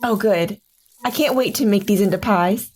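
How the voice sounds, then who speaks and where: An actress playing a gentle young village wife who giggles and speaks earnestly, in an audio drama. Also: female voice, mid-range voice, normal speed, slightly rough, lightly acted. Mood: calm.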